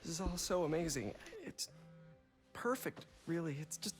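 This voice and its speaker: A man's voice: on the high side, moderate pace, lightly acted. An actor playing a polite, earnest young man being shown around a new job in a game cutscene. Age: young adult. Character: young male science graduate, earnest and polite. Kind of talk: video game cutscene acting. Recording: game soundtrack music behind.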